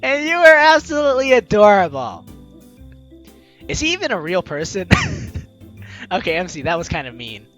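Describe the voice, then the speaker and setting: Female playthrough host: playful, expressive read-aloud and commentary, animated pitch, bright tone, music under it. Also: moderate pace, long pauses, dull audio. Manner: playful, giggly, expressive reading. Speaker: gaming video host, player reading aloud.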